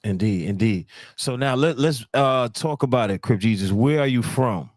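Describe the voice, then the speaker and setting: A man's voice: mid-range voice, animated, moderate pace, no echo, studio quality. A man hosting a podcast, chatting casually in slang and often repeating words for emphasis. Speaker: podcast host. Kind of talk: casual interview chat.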